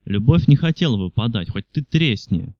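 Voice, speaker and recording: A man's voice: mid-range voice, lively intonation, normal speed, warm tone, no room sound, dull audio. A man reading literary fiction aloud as a scripted audiobook narration. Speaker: audiobook reader.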